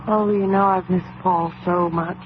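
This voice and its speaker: A devoted, anxious wife and mother in a radio drama. She speaks earnestly and affectionately, with hesitant, repeated starts. The old recording is narrow and thin, with faint noise. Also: fairly low pitch. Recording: band-limited noisy old radio recording.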